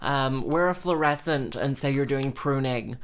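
A woman's voice: unhurried, a little hiss or hum. Playful, self-mocking young woman. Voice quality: says she has a squeaky voice. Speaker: musician and vlogger. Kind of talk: casual rambling monologue.

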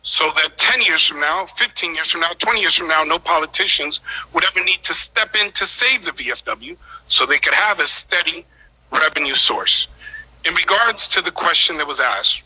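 A man speaking as mayor, addressing a city council meeting in a measured, formal and emphatic way. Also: on the high side, lively intonation, bright, forceful tone, husky. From United States. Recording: dull lo-fi meeting audio.

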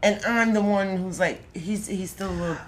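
A woman co-hosting a podcast in casual chat, teasing and quick to push back. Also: mid-range voice. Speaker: podcast co-host.

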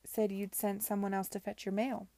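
A woman's voice: mid-range voice, little pitch movement, normal speed, vocal fry, warm tone, no echo, close to an everyday voice. A woman voicing an earnest, concerned young woman in a period story, direct and urgent in her questioning. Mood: puzzled.

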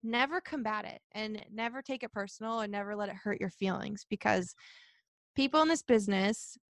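A young woman who works as a reporter, answering interview questions in a chatty, energetic way and laughing often. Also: brisk, dry recording, clean studio sound. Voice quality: high-pitched voice. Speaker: sports reporter.

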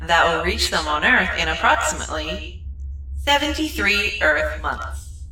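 A woman's voice: lowish voice, lively intonation, measured pace, pushed and bright, far from the mic, poor audio. A woman playing a relentlessly courteous customer-service spaceship computer in a sci-fi audio drama, speaking in polite scripted corporate phrases. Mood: apologetic, formal.

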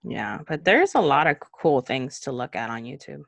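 A young woman with a notably low-pitched voice chats casually as a podcast co-host, laughing easily and filling pauses with ums. The sound is dry and close, with no room around it. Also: sing-song, gravelly, no room sound. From Cuba. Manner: chatty, laughs often, trails off with ums.

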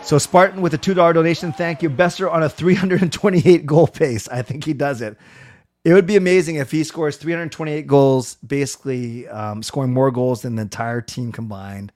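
A middle-aged man with a loud voice hosting a casual hockey livestream, rambling with fillers and restarts. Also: a little high, a little fast. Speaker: livestream host.

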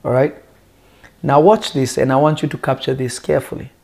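A man who is a native Lingala speaker, preaching in a conversational teaching style with emphatic, deliberate phrasing and rhetorical questions. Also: mid-range voice, fairly even intonation, gravelly, a little mellow.

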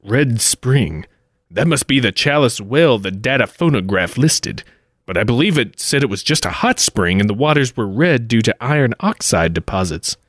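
An actor playing a brash, bluffing artifact hunter with slangy wisecracks, in 1940s radio style. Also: male voice, medium pitch, expressive, moderate pace, a bit bright, no echo, studio quality. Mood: skeptical. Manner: old-time slangy wisecracking.

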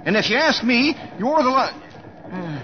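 An actor playing a fretful, grumbling cowboy sidekick in an old-time radio drama. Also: male voice, very high-pitched, big pitch swings, measured pace, lo-fi sound, heavily acted. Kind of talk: 1940s-50s radio drama acting.

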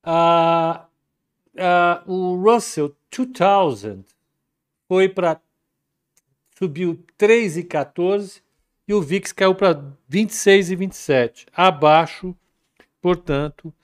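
A man talks through the day's market numbers in a loose, chatty way, reading viewer comments aloud as he goes. His voice sits a little high for a man. He takes his time and never hurries. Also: takes it slowly, pauses often, clear, resonant tone. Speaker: market commentary livestream host. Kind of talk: casual live market commentary.

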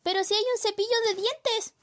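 A woman's voice: extremely high pitch, steady tone, a little fast, fairly bright tone. A young woman, a student actor, playing a clingy, melodramatic wife in an amateur radio drama. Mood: pleading. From Chile. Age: young adult.

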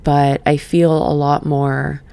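A middle-aged woman who just woke up, leading a gentle breathing exercise and then reflecting in a soft, meandering way. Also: low-pitched, flat delivery, creaky, smooth. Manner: soft, slow and meandering, with sighs and fillers. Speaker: podcast host and session coach. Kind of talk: guided breathing then casual reflective talk.

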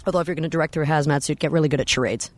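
A woman in her thirties with a low voice, talking bluntly and candidly as a guest in a casual chat, swearing freely. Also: steady tone, very fast, a little hiss or hum.

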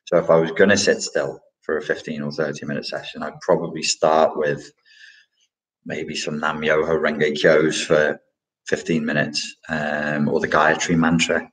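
A man's voice: a bass voice, flat delivery, moderate pace, a bit bright, rough recording. A man hosting a casual live question-and-answer stream, talking in a rambling, reflective way with many fillers and restarts. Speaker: livestream host answering chat questions.

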